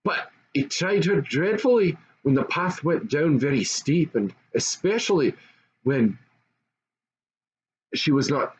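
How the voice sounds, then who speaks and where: A man's voice: fairly high pitch, lively intonation, slow. An older man reads a children's story aloud expressively, as an amateur storyteller. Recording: dull lo-fi home recording, faint noise.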